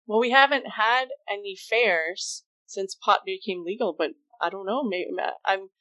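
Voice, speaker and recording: A woman's voice: medium pitch, unhurried, bright, forceful tone, rough recording. A woman co-hosting a podcast, chatting in an easygoing way, laughing often and restarting her sentences as she thinks aloud. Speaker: podcast co-host. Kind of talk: casual podcast chat.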